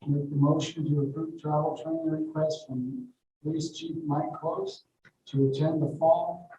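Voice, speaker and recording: A man's voice: fairly high pitch, steady tone, a little slow, warm tone. A man on a city council, making motions offhand while losing his voice. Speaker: council member. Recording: echoey hall from far off, faint noise.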